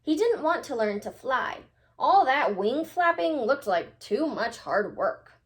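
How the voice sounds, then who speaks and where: Primary teacher, female, slightly high and very animated, emphatic storybook read-aloud delivery aimed straight at kids. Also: measured pace. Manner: expressive, emphatic, addressing children directly. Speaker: teacher reading aloud to children.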